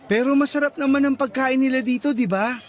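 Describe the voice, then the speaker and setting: A man's voice: very high voice, a little fast. A man playing an eager young boyfriend. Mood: coaxing. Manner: playful, teasing, eager. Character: playful young boyfriend proposing marriage. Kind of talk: radio drama acting. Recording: soft music underneath.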